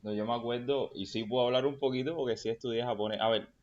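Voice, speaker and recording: A man's voice: mid-range voice, steady tone, fast talker, lo-fi sound. A man interviewing a guest in a friendly, casual way.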